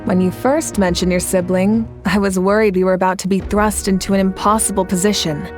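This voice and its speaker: A composed, commanding female leader, voiced by a woman in a game, speaking at a mid pitch. She is measured and reassuring, with quiet authority in every sentence. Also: flat delivery, faint music behind, lightly acted. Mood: steady. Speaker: leader of a nation at war. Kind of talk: video game voice acting.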